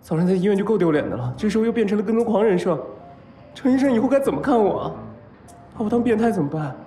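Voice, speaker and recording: An excitable young man in a radio drama, smitten and easily flustered. His voice sits high and swings widely in pitch, with stammers and whiny exclamations, over music. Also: fast talker. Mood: panicked. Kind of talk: audio drama acting. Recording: music behind.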